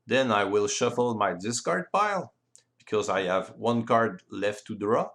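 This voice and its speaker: Slow, lilting Frenchman explaining a game. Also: fairly low pitch, expressive, a bit bright. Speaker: board game rules presenter. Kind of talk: explanatory walkthrough of game play.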